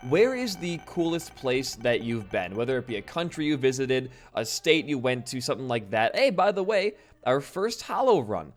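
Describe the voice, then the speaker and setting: A laid-back young man from Wisconsin, a bit hoarse after losing his voice, rambling casually while commenting on his gameplay. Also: mid-range voice, big pitch swings, moderate pace, few pauses. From Wisconsin, USA. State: slightly hoarse from voice loss. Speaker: gaming channel host. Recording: faint game music behind.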